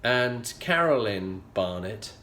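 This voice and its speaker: A middle-aged Yorkshireman speaks with a low voice, earnest and measured, pausing often as he tells a personal story. The recording has a noticeably echoey room sound around him. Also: lively intonation, pushed and bright, slight background noise. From England. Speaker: leadership coach and show host.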